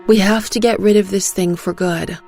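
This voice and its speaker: Woman playing a tense, resolute, gravelly conspirator. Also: mid-range voice, fairly even intonation, normal speed, a little mellow, faint music behind, lightly acted. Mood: resolute calm. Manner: controlled, urgent, probing. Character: Anne, tense resolute woman covering up a crime. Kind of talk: audio drama character acting.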